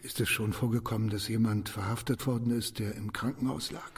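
A man acting a suspicious, secretive loner afraid of arrest in a radio drama, uneasy, halting and over-insistent. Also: lowish voice, steady tone, creaky. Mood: furtive, wary. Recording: distant from the microphone.

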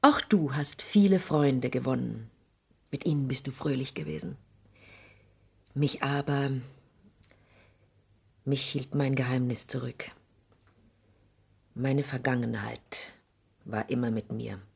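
A wronged, proud mother in 1960s radio drama style. Her voice is deep and breathy, speaking at a slow, deliberate pace. She is bitter and theatrical, shifting between hushed intensity and force. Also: exaggerated voice. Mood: pained, weary. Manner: intense, theatrical, hushed passages swelling into outbursts.

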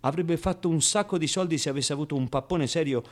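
An actor playing a naive, streetwise young man recalling his boyhood in a confiding, colloquial radio monologue with dramatic pauses. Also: on the high side, close to an everyday voice. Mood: naive, animated.

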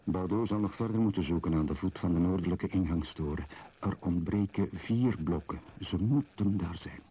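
A low-voiced, reflective archaeologist in a radio play. His voice is dark and mellow. He delivers a literary monologue in a measured, solemn, husky manner. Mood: pensive, reverent. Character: contemplative male archaeologist tenderly unwrapping an Egyptian mummy.

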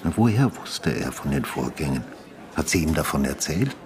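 Very deep-voiced, brooding male detective. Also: big pitch swings, a little mellow, slight background noise. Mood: probing, insistent. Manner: probing, measured, matter-of-fact. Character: brooding retired male homicide detective, insistent questioner and narrator. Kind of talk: radio drama dialogue and narration. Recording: music behind the voice.

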